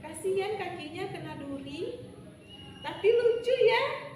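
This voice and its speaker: High, sing-song celestial maidens, acted out by a woman, tease and admonish from the sky. It is a storybook voice, lifted very high, heard in a strongly echoing, noisy space. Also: expressive, a little slow, recorded from a distance. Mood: amused pity.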